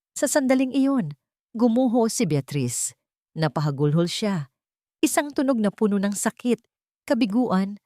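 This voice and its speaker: A woman with a fairly low voice narrates a dramatic story in a very dry, close recording. Her delivery is emotive and theatrical, with pitch that moves widely. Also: a little mellow, no room sound.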